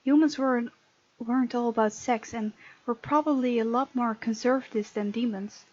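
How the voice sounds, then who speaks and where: High-voiced woman doing game commentary. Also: fairly even intonation, moderate pace, darkish tone. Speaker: gaming video host. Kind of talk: let's play commentary and dramatic reading aloud. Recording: muffled lo-fi mic, music underneath. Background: game music.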